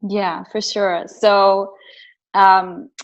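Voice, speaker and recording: A woman's voice: medium pitch, level tone, drawn-out words, dull audio. Brazilian-accented woman sharing her story. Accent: Brazilian Portuguese. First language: Portuguese. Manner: earnest and reflective. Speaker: podcast guest. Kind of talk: casual interview, personal storytelling.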